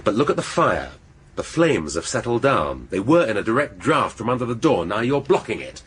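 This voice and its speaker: An actor playing a brooding, sardonic senior English police detective, dry, measured and peremptory, in a radio drama. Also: male voice, lowish voice, very animated, fairly bright tone, a little hiss or hum. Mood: brisk, probing. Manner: dry, measured, peremptory, testing his junior. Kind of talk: naturalistic radio drama acting.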